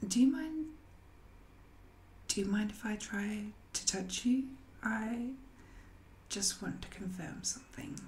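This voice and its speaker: A woman playing an ancient, banished magical villain in an audio roleplay, musing and seductive, with hesitant, intimate delivery that often softens toward a whisper. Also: lowish voice, slight background noise. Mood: coy, teasing. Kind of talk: scripted audio roleplay monologue, intimate and addressed to the listener.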